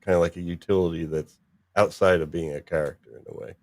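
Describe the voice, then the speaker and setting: A man hosting a casual discussion of a story, halting as he thinks aloud. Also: deep voice, steady tone, darkish tone, dry recording. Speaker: podcast host.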